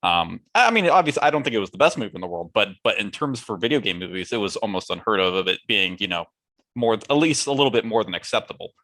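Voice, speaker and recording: A young man in relaxed podcast conversation. His voice is fairly low with a bright, crisp edge, and his wording is informal. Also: quick pace. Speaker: podcast co-host. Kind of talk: casual chat.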